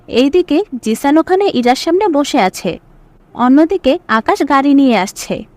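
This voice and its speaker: A woman's voice: high-pitched, expressive, normal speed, a little mellow, dry recording. A woman reading scripted story narration as the narrator.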